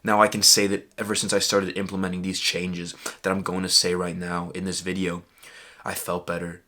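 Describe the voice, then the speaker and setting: A man's voice: low-pitched, monotone, normal speed, sharp tone, slight background noise. A young man giving casual, earnest motivational advice to camera as a fitness vlogger, emphatic and peppered with "bro" and "literally.".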